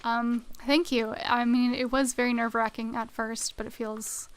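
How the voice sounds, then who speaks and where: A woman's voice: fairly high pitch, little pitch movement, moderate pace, clear, resonant tone. A young woman streaming a video game, chatting casually and reading out chat messages, her voice a little worn. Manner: chatty, reads out chat messages, many fillers like "like". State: slightly losing her voice. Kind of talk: casual live-stream chat while gaming.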